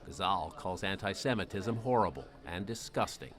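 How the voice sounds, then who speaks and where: A man's voice: low-pitched, animated, normal speed, over music. A man narrating a scripted news report as a correspondent.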